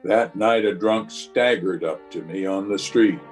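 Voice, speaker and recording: A weary frontier lawman, played by a man in a radio western. The voice is on the low side, grave and plainspoken. He sounds burdened by conscience, with no bluster at all. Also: unhurried, soft background music. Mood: somber narration. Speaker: actor and narrator in an amateur radio play. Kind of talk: old-time radio western acting. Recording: voice recorded over an internet call.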